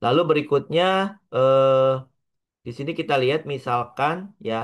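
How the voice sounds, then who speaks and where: An Indonesian man speaks as an accounting lecturer at a middling pitch. He explains step by step in short, halting pieces, slipping English terms into his speech and often tagging phrases to check that listeners follow. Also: steady tone, lo-fi sound. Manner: halting delivery, mixing in English terms, frequent checking tags.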